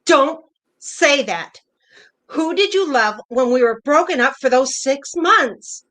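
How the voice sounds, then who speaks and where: A woman's voice: sits high, expressive, slow, a bit bright, exaggerated voice. A woman playing a hurt, jealous older wife, pointed and probing, in a naturalistic play reading. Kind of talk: virtual staged play reading, naturalistic dialogue.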